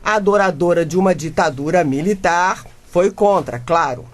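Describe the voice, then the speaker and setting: A woman's voice: on the low side, moderate pace, lightly acted. A woman playing an exasperated, defensive modern wife and mother in a comic radio drama, with theatrical exclamations.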